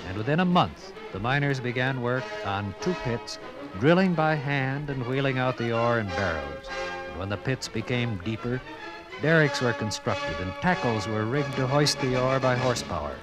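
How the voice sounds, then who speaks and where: A man's voice: medium pitch, expressive, poor audio. Formal male radio narrator over music. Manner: formal, measured announcer delivery. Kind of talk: 1950s radio documentary scripted narration. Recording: vintage radio broadcast with music.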